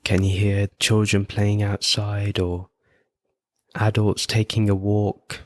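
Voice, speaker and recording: A man leading a guided meditation as host, giving gentle, unhurried instructions with frequent pauses. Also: lowish voice, level tone, no room sound. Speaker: podcast host guiding meditation.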